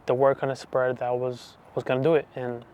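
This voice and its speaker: A man in his twenties giving a personal faith testimony, talking softly and hesitantly with many restarts. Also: mid-range voice, completely flat delivery, fairly quick, clear, resonant tone. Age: 20s. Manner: soft, hesitant, full of restarts and fillers. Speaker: channel host giving testimony.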